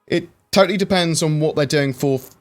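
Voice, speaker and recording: A man's voice: fairly high pitch, fairly quick, soft background music. Chatty man answering livestream chat. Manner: chatty, reads viewer questions aloud and answers with many fillers. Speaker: streamer answering chat questions. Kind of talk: casual livestream Q&A.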